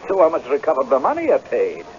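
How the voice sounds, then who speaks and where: An actor playing an earnest, easily flustered young Scottish tenant in a radio drama, with a stammering, agitated way of telling things. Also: male voice, mid-range voice, huge pitch swings, fast talker. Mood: anxious insistence. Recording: dull, noisy old radio recording.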